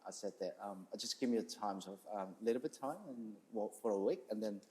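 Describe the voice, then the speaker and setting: Low-voiced Korean-accented man, halting speech. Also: mellow. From South Korea. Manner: hesitant, halting, non-native English phrasing. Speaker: chef, interview guest. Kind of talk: casual interview, telling their story.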